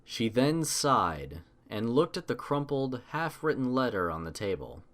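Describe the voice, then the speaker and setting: A man's voice: medium pitch, very animated, unhurried, a bit bright. A man reading scripted story narration as an audiobook narrator.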